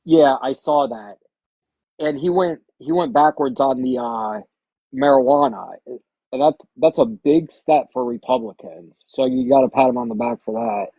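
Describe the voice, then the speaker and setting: Male caller from Indiana, gravelly and hesitant, recorded dry and close. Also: mid-range voice, sing-song, mellow, no room sound, dull audio. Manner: hesitant, halting. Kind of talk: casual call-in chat.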